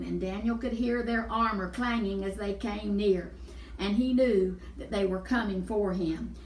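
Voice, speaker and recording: A woman's voice: a little high, a little hiss or hum. A woman storyteller reading a Bible story aloud for children, explaining and repeating words for emphasis. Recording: echoey room, distant mic.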